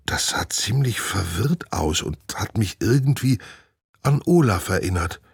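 Steffi, a man voicing a hurried squirrel girl. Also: lowish voice, creaky, husky, no echo, studio quality, heavily acted. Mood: eager, urgent. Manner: excited, rushed, urgent. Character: girl turned into a flying squirrel, hurried and eager.

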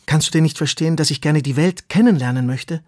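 A man voicing a curious, restless young male earthworm who talks in a high, excited, pleading way in a children's bedtime story. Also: quick pace, dry recording, studio quality, close to an everyday voice. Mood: wondering.

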